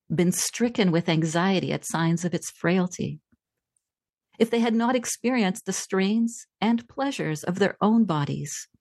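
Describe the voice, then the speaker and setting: A woman author who grew up in Czechoslovakia, reading from her book and then answering interview questions in a thoughtful, measured way. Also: mid-range voice, darkish tone, no room sound. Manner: thoughtful, measured, with frequent fillers. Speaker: author and language scientist.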